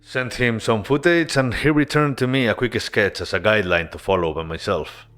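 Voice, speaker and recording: A man narrating his game-development devlog as a voice-over, earnest and relaxed, with casual asides. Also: fairly low pitch, moderate pace.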